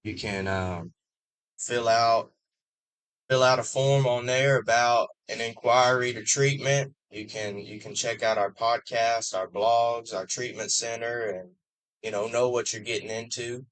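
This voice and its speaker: Slow-talking podcast host. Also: male voice, medium pitch, fairly even intonation, fairly bright tone, no echo, poor audio. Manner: brief affirmations, then reads out contact details. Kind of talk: interview podcast hosting.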